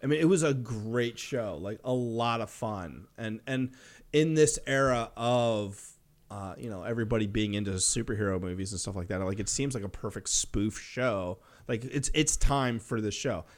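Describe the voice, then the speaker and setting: A man's voice: fairly low pitch, normal speed. A man co-hosting a casual podcast, giving blunt, emphatic opinions in loose banter. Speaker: podcast co-host.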